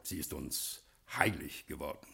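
An actor playing a reformed American gangster turned gentleman, giving grand, commanding orders in a 1950s German radio drama. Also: a bass voice, measured pace, gravelly, husky, exaggerated voice. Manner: commanding, grandiose, brooks no objection. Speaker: castle owner giving orders.

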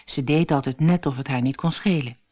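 A woman reading a children's fairy tale as scripted narration, with expressive, clearly phrased storytelling. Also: a bass voice, fairly quick, dry recording, clean studio sound.

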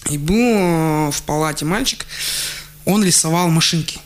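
A man's voice: on the high side, moderate pace. Chatty man telling childhood stories. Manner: chatty, laughs often, many fillers and restarts. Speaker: radio guest. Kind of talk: relaxed radio interview storytelling. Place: radio studio. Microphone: studio microphone.

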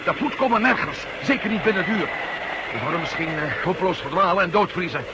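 Commanding astronaut hero, high voice, sardonic and brisk, very noisy old radio recording. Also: male voice, animated. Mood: determined. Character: commanding former astronaut, adult man, brisk and determined. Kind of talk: science-fiction radio drama acting.

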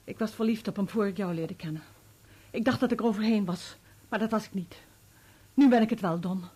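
An actress playing a remorseful, unfaithful wife in a Dutch radio play, speaking earnestly and persuasively. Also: female voice, mid-range voice, brisk, mellow, breathy edge, lightly acted. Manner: earnest, emotional, persuasive pleading. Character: unfaithful, remorseful young wife, pleading and resolute.

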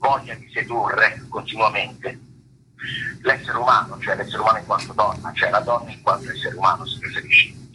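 Deep, forceful man answering haltingly, noisy line. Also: steady tone. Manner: halting, searching for words, rephrasing himself. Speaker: stage actor, interview guest. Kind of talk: unscripted interview answers.

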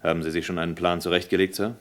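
An actor playing a deferential, questioning FBI lieutenant in a German radio crime drama, sounding doubtful. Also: male voice, low voice, little pitch movement, rapid-fire, natural voice.

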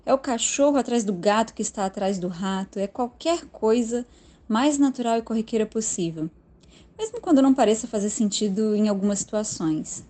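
Mellow-voiced Brazilian woman telling eerie stories. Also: fairly high pitch, expressive, normal speed. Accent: Brazilian Portuguese. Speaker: storyteller and podcast narrator. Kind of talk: scripted literary horror narration.

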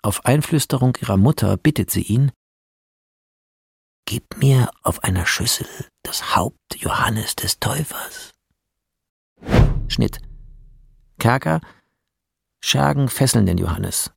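A man narrating a radio drama, reading out film scene directions in a terse, deliberate, descriptive manner. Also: mid-range voice, animated, creaky, breathy edge, no room sound, clean studio sound.

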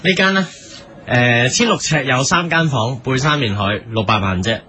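A man's voice: medium pitch, normal speed, pushed and bright, pitched below the performer's own voice. A man playing an eager, flustered property agent in a comic radio sketch. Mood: eager, awkward. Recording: clearly noisy recording.

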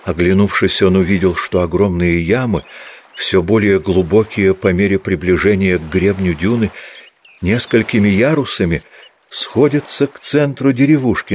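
A man's voice: deep voice, a little hiss or hum. A man reading literary prose as a scripted audiobook narration.

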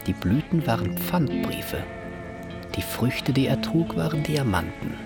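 An actor playing a resigned, detached war veteran who recalls his life with understated, deadpan fatalism in a radio drama. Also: medium pitch, unhurried. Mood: detached, reflective. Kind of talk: German radio drama acting. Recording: strong music behind the voice.

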